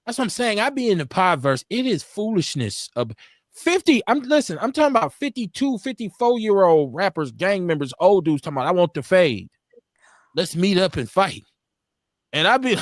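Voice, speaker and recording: A man in his forties, hosting a casual podcast chat in an animated, emphatic way, often restarting his sentences. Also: high-pitched, normal speed, no room sound, studio quality. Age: 40s. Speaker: podcast host.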